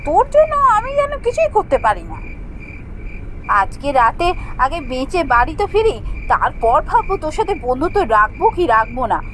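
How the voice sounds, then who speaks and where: A woman voicing a bold, impatient young woman in a Bengali cartoon ghost story, with a brisk scolding manner. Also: high-pitched, huge pitch swings, a little hiss or hum, poor audio. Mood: scornful, exasperated. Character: bold, impatient young woman friend driving through forest.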